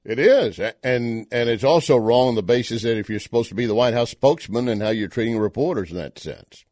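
A man's voice: mid-range voice, lively intonation, a little fast, darkish tone, dry recording, clean studio sound. A Texan man hosting an opinion talk show, commenting indignantly with emphatic questions. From Texas.

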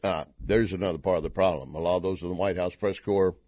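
A man's voice: low voice, fairly even intonation, fast, darkish tone, no room sound, studio quality. A Texan man hosting an opinion talk show, commenting indignantly with emphatic questions. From Texas.

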